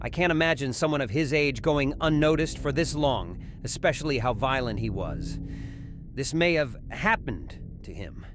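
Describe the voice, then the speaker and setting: A man playing a grave, authoritative senior hospital doctor in a horror audio drama, formal and deliberate. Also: medium pitch, animated, over music, lightly acted. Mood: grave, pondering.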